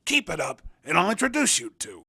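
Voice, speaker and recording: A cocky hustler from a racing video game, recruiting with slangy, coaxing patter. His voice is raspy and a little high, and it jumps around in pitch as he sells. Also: wildly swooping pitch, fairly quick, pushed and bright, heavily acted. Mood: coaxing, slick. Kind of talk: video game character dialogue.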